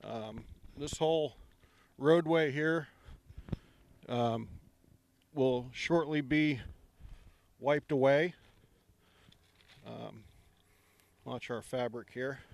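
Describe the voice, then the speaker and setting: A middle-aged man giving a relaxed walking tour of an outdoor construction site, speaking into a handheld microphone with many ums. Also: medium pitch, extremely slow, creaky, long pauses.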